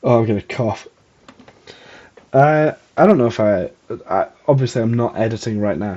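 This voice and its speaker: A man's voice: on the low side, mellow, slightly rough, lo-fi sound. A young man with a Scottish accent rambling casually and self-deprecatingly as he hosts a solo podcast. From Scotland. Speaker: podcast host.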